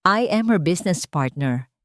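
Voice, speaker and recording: Woman acting calm, commanding Filipino businessman. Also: lowish voice, moderate pace, dry recording, studio quality, natural voice. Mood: cool, firm. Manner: calm but weighty, formal.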